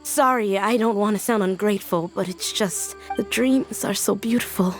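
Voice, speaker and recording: A woman playing a soft-spoken, wistful young woman in a video game, longing for a loved one, hesitant and sorrowful. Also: mid-range voice, normal speed, soft background music, natural voice. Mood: sad, resigned. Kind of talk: scripted video game voice acting.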